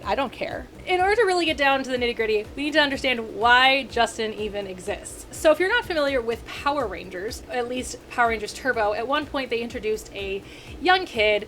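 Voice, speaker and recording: A woman in her thirties rants casually to camera as a video critic, in a somewhat high voice. She rambles, corrects herself and drifts into asides as she talks. Faint room noise sits under her voice. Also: a little fast. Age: 30s. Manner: rambling and animated, with frequent self-corrections and asides.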